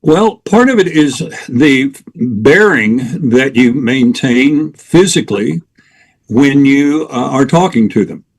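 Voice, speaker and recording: Measured older man explaining at length. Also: mid-range voice, expressive, poor audio. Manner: measured, explanatory, lists points deliberately. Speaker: guest expert on interventions. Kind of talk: radio talk show interview.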